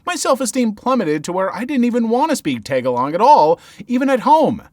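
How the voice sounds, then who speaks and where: A man's voice: extremely high pitch, sing-song, a little fast, a little mellow, dry recording, studio quality. A man reading viewer stories aloud as the channel's narrator, adding his own commentary between them. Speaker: story narrator.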